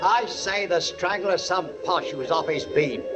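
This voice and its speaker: An actor playing a resentful working-class pub gossip in a 1940s radio drama. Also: male voice, high voice, a little slow, over music.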